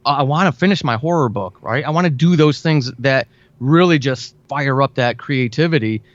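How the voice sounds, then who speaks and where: A man's voice: mid-range voice, moderate pace, no echo. Enthusiastic American man, dry muffled recording. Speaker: podcast guest, audio drama writer. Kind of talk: conversational interview.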